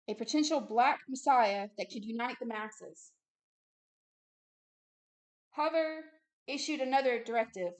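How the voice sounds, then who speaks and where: A woman hosting a religious video, reading aloud and preaching with an emphatic, insistent manner and short repeated phrases. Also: a little high. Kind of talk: reading a book aloud with impassioned preaching commentary.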